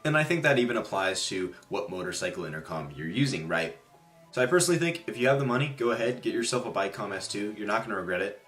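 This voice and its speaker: Low-voiced man casually reviewing gear. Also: animated, fast, a bit bright, faint music behind. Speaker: product reviewer and video host. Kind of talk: casual product review to viewers.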